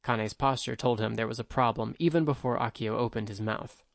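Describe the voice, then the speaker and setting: A man reading a fantasy story aloud as narrator, in steady, even storytelling. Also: mid-range voice, brisk, gravelly, no echo, studio quality. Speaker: audiobook narrator. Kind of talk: scripted narration.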